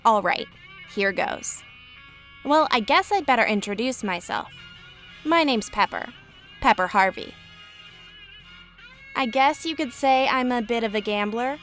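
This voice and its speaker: A woman's voice: a little high, expressive, unhurried, pauses often, a bit bright. An actress voicing a broke, cheeky young woman gambler in a game, chirpy and self-mocking. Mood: storytelling. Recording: game audio with faint music.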